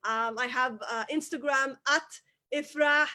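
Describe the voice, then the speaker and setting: High-voiced woman. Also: fairly even intonation, unhurried, bright, forceful tone. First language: Somali. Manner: emphatic, repeats key phrases for emphasis. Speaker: guest, business owner. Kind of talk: motivational interview talk.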